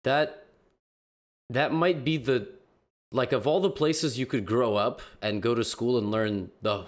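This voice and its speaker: A man hosting a podcast, relaxed and casual, often stumbling into restarts and fillers. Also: medium pitch, a little slow. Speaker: podcast host.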